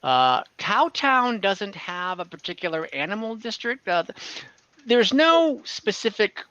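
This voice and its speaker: A man working as game master, improvising narration for an online tabletop game in a halting way, searching for words. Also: sits high, sing-song, pushed and bright, clean tone, dry recording, muffled recording.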